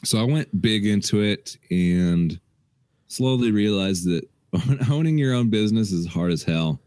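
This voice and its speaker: Very deep-voiced man co-hosting a podcast. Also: unhurried, no echo, studio quality. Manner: relaxed, rambling, restarts a lot. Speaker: podcast co-host. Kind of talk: casual chat.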